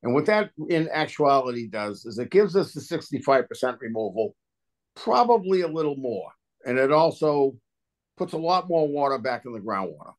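A man's voice: mid-range voice, big pitch swings, normal speed. A man with a mid-range voice speaks at a virtual public hearing. He explains technical review work informally, in plain colloquial language, as an official would. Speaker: water and sewer agency official at a hearing. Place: remote video call.

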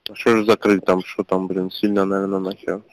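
A man's voice: low voice, little pitch movement, fairly quick. A man talking casually and coarsely on an intercepted phone call.

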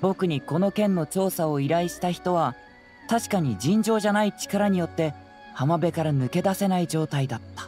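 A man voicing a youthful, confident detective in an anime game, speaking in a measured, analytical, explanatory way. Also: sits high, darkish tone, close to an everyday voice. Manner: measured, explanatory, self-assured. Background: faint background music.

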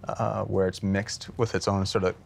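A man's voice: low voice, level tone, normal speed, creaky, slight background noise. A man in his thirties explaining his work as a creature designer in a behind-the-scenes interview. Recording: music playing behind the voice.

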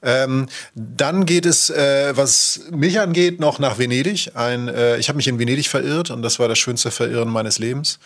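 A man's voice: medium pitch, normal speed, hardly any pauses, fairly bright tone. A middle-aged man from northern Germany chatting casually as a podcast host. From Schleswig-Holstein, northern Germany. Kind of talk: casual chat.

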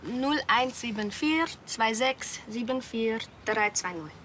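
A woman's voice: on the high side, expressive, takes it slowly, a bit bright, slight background noise. An actress playing a polite but evasive young woman with a Russian accent and clipped, non-native phrasing.

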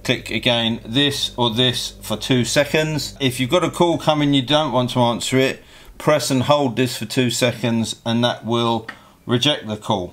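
A man's voice: medium pitch, a bit bright, a little hiss or hum. A man giving a hands-on product review in a practical, matter-of-fact, explanatory way. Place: indoor motorcycle workshop bench.

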